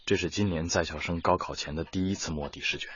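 A man's voice: sits low, steady tone, fast talker, a bit bright, slight background noise. A man playing a devoted volunteer teacher in a radio drama, telling his story in an earnest, reflective, emotive way. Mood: gentle, earnest. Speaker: narrator and lead character. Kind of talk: dramatic radio-play narration and dialogue. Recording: muffled radio drama under prominent music.

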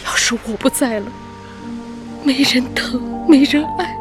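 Anxious widowed mother, high plaintive voice. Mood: distressed pleading. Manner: plaintive, earnest, halting. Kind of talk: emotional radio drama acting. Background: music.

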